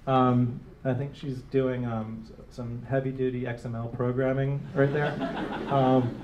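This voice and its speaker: A man's voice: medium pitch, flat delivery, measured pace, a little mellow, dull audio. A middle-aged man from Detroit, answering interview questions onstage in a casual, rambling way with many fillers and restarts. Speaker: interviewed designer. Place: small gallery room, live audience. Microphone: handheld microphone. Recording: roomy, distant, faint hiss.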